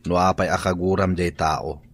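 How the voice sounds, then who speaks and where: A man's voice: low-pitched, fairly even intonation, normal speed, husky. A man playing a reasonable, questioning husband in a Filipino radio drama. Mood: questioning, exasperated.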